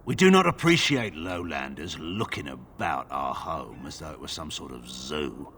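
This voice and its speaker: An actor playing a stern, hostile village guard in a fantasy game, curt and disdainful. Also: male voice, very deep voice, sing-song, normal speed, fairly bright tone, a little hiss or hum, full character voice. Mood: cold, hostile. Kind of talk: fantasy video game dialogue.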